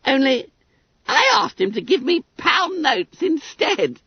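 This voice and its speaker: Storybook role: smug, pompous fat schoolboy, very high, squeaky and gleefully boastful, dull sound. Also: sing-song, takes it slowly, no room sound, heavily acted. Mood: triumphant. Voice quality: squeaky, trilling. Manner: pompous, gleeful, muddles long words.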